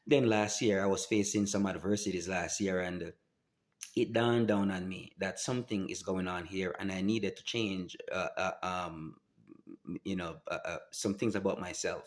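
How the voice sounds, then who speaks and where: A man, telling his personal story to camera in a halting, earnest way with many restarts. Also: low-pitched, fairly even intonation. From Jamaica. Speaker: vlogger.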